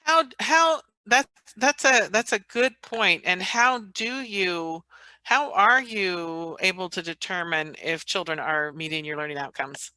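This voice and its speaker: Bright-toned woman interviewer. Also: on the low side, unhurried. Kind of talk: interview questions.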